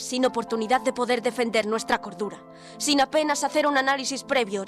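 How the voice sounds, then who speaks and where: Audio drama role: indignant young woman reporter played by an actress, highish voice, dramatic and articulate, music behind. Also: natural voice. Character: defiant young woman reporter posing as an asylum inmate. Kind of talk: audio drama narration and dialogue. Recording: music under the voice.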